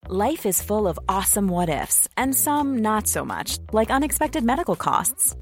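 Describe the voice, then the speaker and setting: A woman reading a scripted insurance advertisement as an announcer. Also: mid-range voice.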